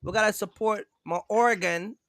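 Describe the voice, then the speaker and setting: A man's voice: high voice, moderate pace, fairly bright tone. A man hosting a casual live stream, joking and teasing his guests, often talking over them. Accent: Jamaican-influenced. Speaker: host.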